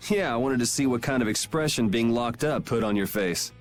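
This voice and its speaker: A man's voice: mid-range voice, a little fast, natural voice. Man playing a cool, sardonic young rebel. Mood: dry, challenging. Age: young adult. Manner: casual, dry, sardonic. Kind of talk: dramatic video game voice acting. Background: background music.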